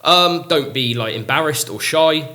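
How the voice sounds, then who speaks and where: A man's voice: a little high, expressive, unhurried, bright, forceful tone. A man, a teacher chatting casually on a podcast, full of ums and likes and poking fun at himself. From England. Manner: chatty, lots of ums and likes, self-mocking. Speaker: English teacher.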